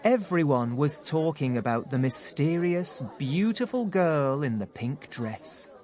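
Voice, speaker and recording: A woman narrating a children's audiobook from a script, in a measured, expressive, clearly phrased way. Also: low voice, some chatter behind.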